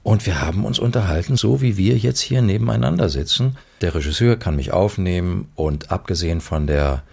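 A man talking casually and explaining things, with pauses as he searches for words. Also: sits low, expressive, gravelly, a little mellow, breathy edge. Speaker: radio drama actor. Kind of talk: casual conversation.

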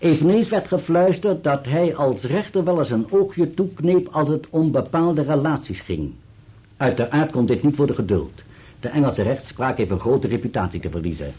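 An actor playing a precise, formal solicitor in a radio play, with an articulate delivery. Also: male voice, medium pitch, animated, moderate pace, mellow, slightly rough. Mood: declamatory, precise. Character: dutiful male solicitor reading an article aloud, precise and formal.

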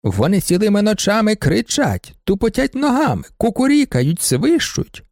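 A man reading an audiobook, voicing a shrill, hysterical older baroness who shrieks her complaints. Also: very animated, moderate pace, a little mellow, dry recording, clean studio sound. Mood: shrill complaining. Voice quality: shrieking, piercing. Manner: overwrought, complaining. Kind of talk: audiobook character voice.

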